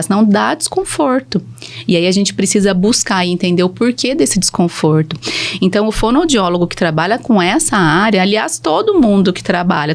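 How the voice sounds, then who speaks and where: A woman with a Brazilian accent explaining her work as a speech therapist in conversation, posing questions and then answering them. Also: mid-range voice. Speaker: speech therapist, podcast guest.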